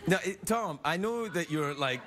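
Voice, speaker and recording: A man's voice: a little high, expressive, normal speed. A man with a Scottish accent hosting a late-night talk show, joking and teasing his guest and laughing often. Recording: studio with loud audience noise.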